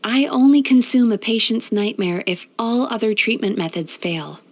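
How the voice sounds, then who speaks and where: A woman playing a gentle, composed yokai therapist in a video game, soft-spoken and measured. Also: a little high, expressive. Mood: composed, earnest. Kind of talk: video game character voice lines.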